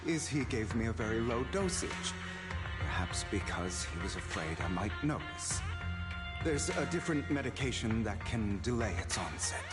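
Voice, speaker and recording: A man playing a composed, calculating, proud man in a video game, speaking in a deliberate, theatrical way. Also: fairly low pitch, big pitch swings. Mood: calm, explanatory. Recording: game audio with music behind.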